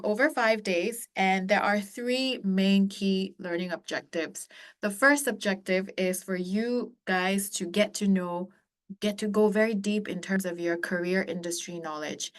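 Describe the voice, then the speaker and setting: A woman in her forties, born in Korea and raised across Asia, founder of an education company, presenting a webinar to students in an earnest, encouraging way, pausing often with um and uh. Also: medium pitch. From born in Korea, raised across East and Southeast Asia.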